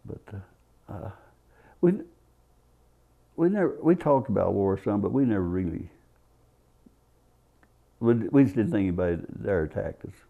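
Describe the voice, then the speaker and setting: A man's voice: fairly low pitch, animated, normal speed, creaky, pauses often, phone-quality sound. An elderly American man, a war veteran, recollecting in an interview on a lapel mic. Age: 70s or older. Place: indoor interview studio.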